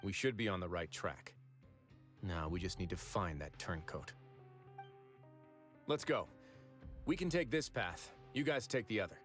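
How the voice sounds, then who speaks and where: An actor playing a composed male fortress warden in a video game, speaking in a calm, measured, authoritative way. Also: deep voice. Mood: decisive. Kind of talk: game cutscene voice acting. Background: faint game music.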